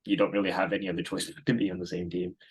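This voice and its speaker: A man in his thirties from Singapore, answering interview questions in a relaxed, rambling way with many fillers and restarts. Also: deep voice, very fast, breathy edge. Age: 30s. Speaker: guest.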